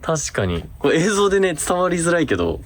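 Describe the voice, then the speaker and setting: A man's voice: fairly high pitch, very animated, fairly quick, slight background noise. A man casually presenting a ghost-spot exploration video outdoors at night, in a joking, conversational way. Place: outdoors at night by a seaside lighthouse.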